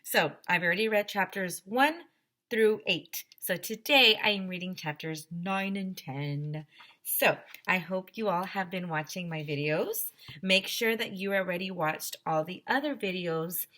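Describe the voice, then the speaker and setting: Lady teacher reading a kids book out loud, bright punchy voice, animated and emphatic. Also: fairly low pitch, unhurried. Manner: animated, emphatic, talks directly to young listeners. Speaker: teacher reading aloud to children. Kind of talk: expressive children's storybook read-aloud.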